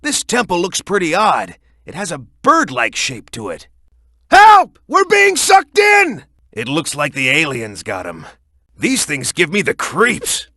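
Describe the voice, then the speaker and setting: A young, hot-headed echidna fighter from a video game. The voice sits high for a male and swings widely, with a bright, forceful edge. He talks in an urgent, punchy, gung-ho way, recorded very dry. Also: huge pitch swings, measured pace, no echo, full character voice. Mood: wary, grim, then alarmed shouting. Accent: American. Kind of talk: animated video game voice acting.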